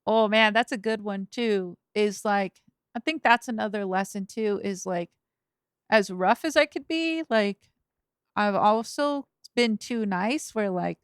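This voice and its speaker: A woman's voice: mid-range voice, measured pace, smooth, no echo, clean studio sound. A woman from Los Angeles, a rapper guest on a podcast, chatting casually with lots of fillers, restarts and laughs. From Los Angeles, USA. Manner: rambling, filler-heavy, laughs often.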